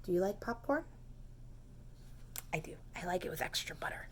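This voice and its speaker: A woman teacher reading a picture book aloud to preschoolers, gentle and unhurried, dropping to soft whispers and inviting the children to join in. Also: on the low side, animated.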